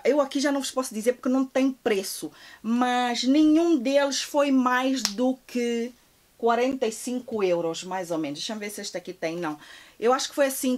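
A woman, chatting through a clothing haul in her closet, gushing and restarting often. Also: fairly high pitch. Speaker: fashion vlogger. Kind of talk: shopping haul vlog. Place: walk-in closet at home.

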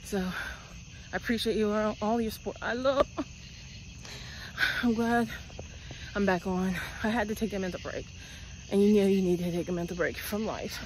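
A woman's voice: medium pitch, moderate pace, pauses often, darkish tone, a little hiss or hum, poor audio. Blunt chatty woman on a night walk. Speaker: vlogger. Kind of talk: casual walking vlog. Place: outdoors on a night street. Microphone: phone at arm's length, earbuds.

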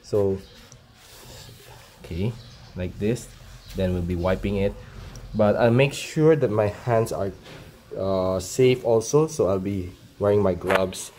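Male vlogger, thirties, Filipino accent, slow and halting how-to delivery, lowish pitch, phone recording outdoors. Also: mellow. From Philippines. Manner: halting, explanatory. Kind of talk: casual how-to vlog demonstration. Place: outdoor paved yard or garage at home. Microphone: handheld phone camera. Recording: clear ambient noise on phone video.

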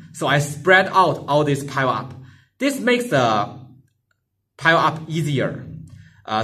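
A young man explains things casually to camera, speaking in short, halting bursts. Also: mid-range voice, fairly bright tone. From Singapore. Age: young adult. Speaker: amateur radio hobbyist vlogger. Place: small plain indoor room.

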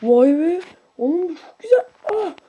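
A woman commenting playfully on a video game, swinging from whispered asides to excited squeals and grumbling at it. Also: extremely high pitch, normal speed. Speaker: gaming YouTuber. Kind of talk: casual let's-play commentary.

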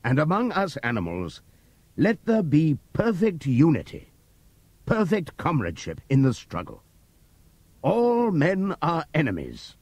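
A man voicing an old prize boar delivering a passionate speech to the farm animals, moving between loud appeals and hushed asides. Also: a little high, wildly swooping pitch, a little slow, slightly rough, no room sound, voice pushed up, heavily acted. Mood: impassioned. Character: old prize boar, wise, rousing orator. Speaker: audiobook reader. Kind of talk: dramatic audiobook reading.

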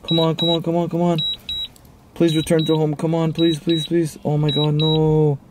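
A young man reacting loudly and with excitement while flying a drone from inside a parked car, filmed by a dashboard camera. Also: fairly high pitch, normal speed, background noise. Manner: exclamatory, hyped-up reactions. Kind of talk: excited drone vlog commentary.

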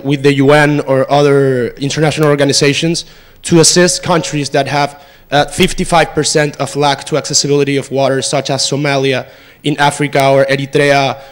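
A man's voice: medium pitch, slight background noise. A man, a student asking a prepared, formal question from the audience. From Venezuela. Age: 20s. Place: auditorium audience. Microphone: handheld microphone.

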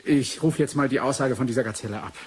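An actor playing a pensive doctor looking into a suspicious death in a radio drama, speaking deliberately with weighty pauses. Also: male voice, mid-range voice, a little mellow, slightly rough, lightly acted. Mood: musing. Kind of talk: 1980s German radio drama acting. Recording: hissy 1980s radio play recording.

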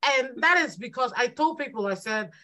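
Nigerian woman, 40s, roundtable panelist on a video call, animated and expressive, slightly high voice. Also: moderate pace. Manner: expressive, gesturing, lively quoting. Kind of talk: animated discussion. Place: small dim room indoors. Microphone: laptop webcam video call.

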